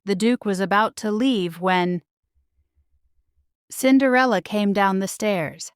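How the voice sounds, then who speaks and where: A woman narrating a children's storybook in a measured, expressive, scripted read-aloud style. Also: medium pitch, clean tone, no room sound, clean studio sound. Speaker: storybook reader.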